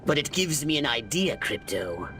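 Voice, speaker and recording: A man playing a pompous, scheming, excitable little alien mastermind in a video game, with theatrical, ornate delivery. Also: medium pitch, normal speed, soft background music. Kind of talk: video game cutscene acting.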